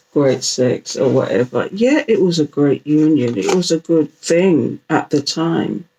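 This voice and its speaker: A woman with a British accent and a croaky, groggy morning voice, chatting playfully as she reads oracle cards for viewers. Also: low-pitched, a little mellow, rough recording. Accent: British, London Jamaican slang. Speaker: tarot reader. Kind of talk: casual oracle card reading to camera.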